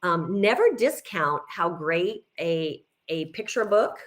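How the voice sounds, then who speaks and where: Woman, middle-aged, slightly low voice. Guest educator in an informal Q&A, encouraging, explains with emphasis. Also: expressive, slow, fairly bright tone. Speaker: guest speaker, kindergarten teacher. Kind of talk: informal educational talk, answering questions.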